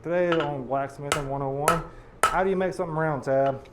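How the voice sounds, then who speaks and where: A hoarse man, losing his voice, folksy and explaining step by step as he demonstrates blacksmithing at a forge. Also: a little high, fairly even intonation, fairly quick, very noisy. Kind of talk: live teaching demonstration. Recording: loud hammer clanging behind voice.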